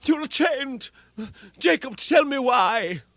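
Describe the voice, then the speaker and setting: A man voicing an old miser who scoffs at a ghost and then pleads with it, in an animated dramatic reading. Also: extremely high pitch, slow pace, no echo, heavily acted. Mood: pleading, frightened. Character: old male miser, frightened and doubting. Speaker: narrator and lead actor. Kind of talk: dramatic reading of a classic tale.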